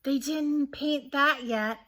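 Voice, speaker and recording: A woman voicing a sulky little boy in a storybook, complaining in a drawn-out, emphatic way. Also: high voice, fairly bright tone, voice pushed up. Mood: indignant. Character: sulky little boy upset over his things.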